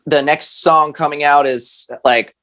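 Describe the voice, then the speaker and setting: A man's voice: on the high side, steady tone, measured pace, a bit bright. A thirty-year-old man chatting casually as a musician guest in an interview, hesitant and rambling, with slangy phrasing.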